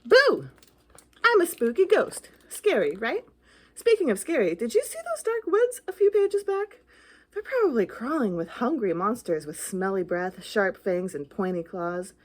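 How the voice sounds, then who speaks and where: A woman acts out a little storybook ghost who bluffs about being brave but scares easily. The voice sits high and swings widely, with theatrical exclamations and anxious questions. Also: sing-song, measured pace. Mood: boastful, spooky. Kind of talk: children's picture book read-aloud.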